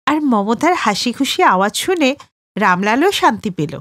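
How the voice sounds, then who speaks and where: A woman narrating a storybook cartoon with expressive storytelling. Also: a little high, normal speed, dry recording, clean studio sound.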